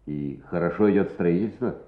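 Casting: man playing senior bureaucrat for radio drama, patient, attentive, lowish voice on a tinny old recording. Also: moderate pace, echoey room, natural voice. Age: older. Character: important grey-haired education official, attentive and patient. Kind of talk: Soviet radio play acting.

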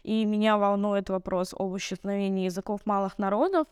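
A woman from Tatarstan whose first language is Tatar, with a clear, resonant voice in a very dry recording. She talks in a thoughtful, articulate way, as in a podcast discussion. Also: mid-range voice, flat delivery, a little fast, dry recording. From Tatarstan, Russia. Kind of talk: conversational discussion.

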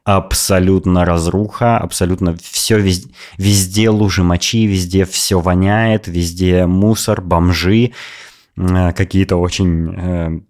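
A man hosting a podcast, telling a travel story in a lively, opinionated way in casual chat. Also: sits low. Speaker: podcast host.

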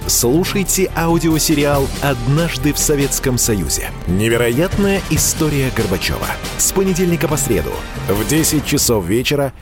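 A man's voice: medium pitch, sing-song, normal speed, music in the background. A man narrating a scripted radio promo in a solemn, theatrical manner. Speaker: promo announcer.

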